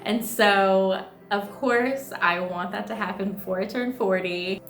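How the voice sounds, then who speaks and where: A woman's voice: medium pitch, measured pace, fairly bright tone. A thirty-year-old woman vlogging in a chatty, upbeat, bubbly way. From United States. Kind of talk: casual vlog chat. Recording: echoey room with faint music.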